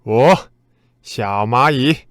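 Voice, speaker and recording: A man's voice: medium pitch, dry recording, clean studio sound. A man playing a boastful, self-important little ant in a children's radio drama, declaiming pompously. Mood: proudly announcing. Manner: pompous, slow, declaiming announcements.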